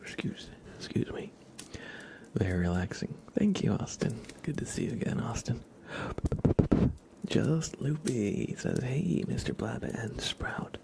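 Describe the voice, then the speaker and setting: A man hosting an ASMR livestream, chatting casually in a soft, gentle, whispered way. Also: mid-range voice, sing-song, unhurried, creaky.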